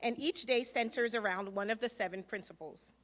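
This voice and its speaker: A woman speaks to an outdoor crowd in a bright, instructive way. She leads them in repeating words back to her. Her voice echoes through a public address system with a long reverb. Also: fairly high pitch, fairly quick. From Nigeria. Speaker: food truck owner. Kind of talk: public teaching speech.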